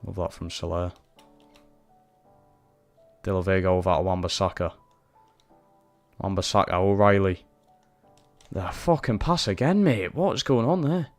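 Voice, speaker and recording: Geordie lad streaming footy career mode, real low voice, swears a lot, bit of music in the back. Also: male voice, measured pace, pauses often. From Newcastle, England. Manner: sweary, frustrated reactions to play. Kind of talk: live gaming commentary.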